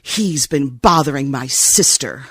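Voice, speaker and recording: Woman playing a husky, cool femme fatale. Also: extremely low pitch, animated, unhurried, a bit bright, dry recording, heavily acted. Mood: firm, commanding. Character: cool, sultry, tough femme fatale client. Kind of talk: old-time radio detective acting.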